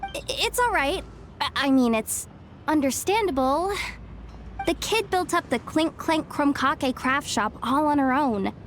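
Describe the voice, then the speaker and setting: A woman's voice: sits high, expressive, measured pace, slight background noise. A woman voicing a street-smart young female informant in a video game cutscene, wry, self-assured and conversational. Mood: hesitant, explaining. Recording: music behind the voice.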